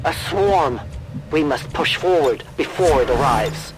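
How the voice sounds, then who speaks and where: Stern, solemn soldier. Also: male voice, fairly high pitch, animated, a little slow. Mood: grim resolve. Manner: stern, formal, solemn phrasing. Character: grim formal alien-hybrid soldier man, proud, menacing. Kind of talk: video game voice acting.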